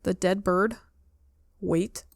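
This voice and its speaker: A woman's voice: medium pitch, fairly even intonation, takes it slowly, gravelly, no room sound, studio quality. A woman giving casual, conversational commentary as she plays through a game. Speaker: game streamer. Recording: faint background noise and game ambience.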